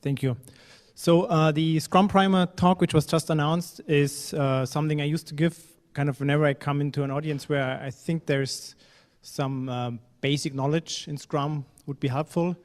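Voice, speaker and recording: A man's voice: on the high side, steady tone, moderate pace. A man from Germany giving a conference talk in a hall, speaking conversationally with fillers and now and then a phrase that sounds non-native. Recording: reverberant hall.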